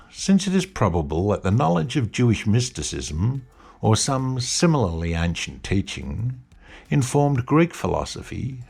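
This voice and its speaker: Gravelly low-voiced man lecturing. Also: darkish tone. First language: English. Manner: measured, lecturing delivery. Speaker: podcast host. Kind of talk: scripted narration.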